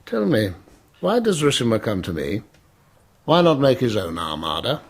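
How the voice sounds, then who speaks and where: An imperious emperor in a radio drama. His voice is husky and crackles with fry. He weighs each phrase with shrewd, wry authority. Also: medium pitch, huge pitch swings, normal speed, exaggerated voice. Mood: shrewd, weighing. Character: Byzantine emperor Leo, commanding, shrewd, suspicious older man.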